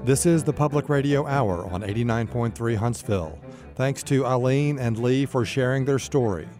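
A man hosting public radio, reading scripted narration in a measured, informative way. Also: medium pitch, music in the background.

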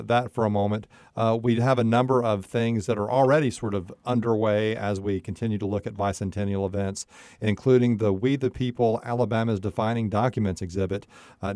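A man's voice: lowish voice, darkish tone, no echo, studio quality. A man hosting a conversational local radio interview, with frequent uhs as he phrases things. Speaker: radio show host.

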